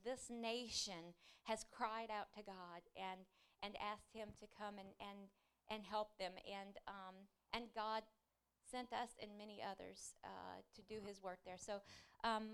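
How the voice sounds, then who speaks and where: A woman missionary giving an earnest church presentation, halting often with fillers. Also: medium pitch, a bit bright, smooth.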